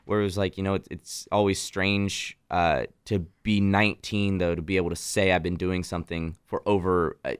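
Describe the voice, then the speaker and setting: A man's voice: sits low, moderate pace, hardly any pauses, smooth, no room sound. A nineteen-year-old man from Nashville with a mixed Southern and Midwestern accent, chatting as a guest in a radio studio. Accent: mix of Southern and Midwestern American. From Nashville, Tennessee. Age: young adult. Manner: friendly, animated storytelling with restarts. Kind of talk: casual radio interview chat.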